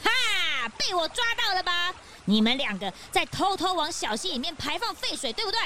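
A woman playing an excitable young detective's sidekick in a children's radio drama, exclamatory and quick to whine. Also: very high-pitched, over music. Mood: accusing.

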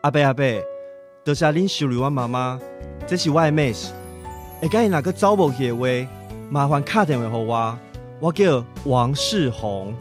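A man's voice: medium pitch, expressive, moderate pace, over music, lightly acted. A man with a Taiwanese accent acting a worried, apologetic, patient adult son in a radio drama.

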